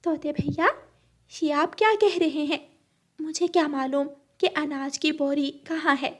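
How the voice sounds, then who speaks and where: A woman voicing a polite mother sparrow in a Hindi cartoon story, with a soft, pleading manner. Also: high-pitched, steady tone, unhurried, voice pushed up.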